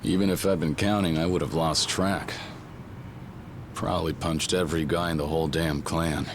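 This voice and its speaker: A deep-voiced stoic young yakuza. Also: male voice, steady tone, normal speed, background noise. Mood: dry. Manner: dry, clipped, understated delivery. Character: stoic, terse young male yakuza brawler. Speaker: game character. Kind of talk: English video game dub. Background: game soundtrack music.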